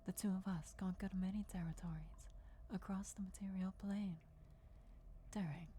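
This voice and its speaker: A woman voicing an ancient, loyal vampire countess in a formal, deferential, measured whisper for fantasy ASMR roleplay. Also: mid-range voice, fairly even intonation, mellow, slight background noise, lightly acted. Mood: solemn, secretive. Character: ancient female vampire countess, devoted herald's speaker, formal and reverent.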